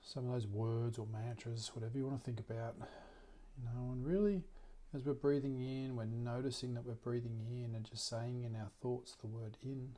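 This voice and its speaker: Even-toned man guiding a meditation. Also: medium pitch. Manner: gentle, unhurried, with long pauses. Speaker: meditation teacher. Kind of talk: guided meditation.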